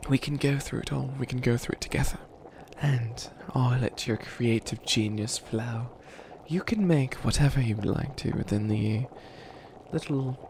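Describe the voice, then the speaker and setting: Man playing a theatrical, creaky-voiced bumbling witch. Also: medium pitch, noisy background. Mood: eager, inviting. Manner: theatrical, gleefully scheming, rambling. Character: bumbling, dramatic bunny witch who thinks himself evil. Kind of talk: comedic ASMR roleplay.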